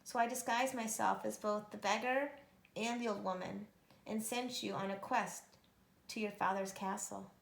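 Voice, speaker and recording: Woman playing a soft, stately fairy queen. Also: medium pitch, steady tone, moderate pace, creaky, roomy sound, lightly acted. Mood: gracious. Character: gracious, solemn queen of the fairies.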